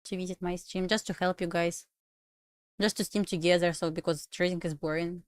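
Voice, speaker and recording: A woman with a Russian accent, a native Russian speaker, chatting casually on a trading livestream in halting English with restarts. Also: fairly low pitch, steady tone, dry recording, clean studio sound. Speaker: livestream trader.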